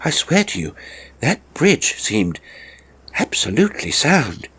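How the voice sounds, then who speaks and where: Man voicing a flustered, higher-pitched gentleman climber. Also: wildly swooping pitch, husky, full character voice. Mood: anxious, defensive. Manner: hurried, halting, protesting. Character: flustered, defensive gentleman climber, anxious to explain himself. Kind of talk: audiobook character acting.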